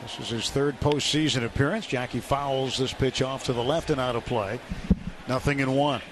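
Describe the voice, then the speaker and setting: A man doing live radio play-by-play baseball commentary in measured, short phrases with pauses, as the announcer. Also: medium pitch, fairly even intonation. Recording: clear stadium noise behind. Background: outdoor ballpark ambience.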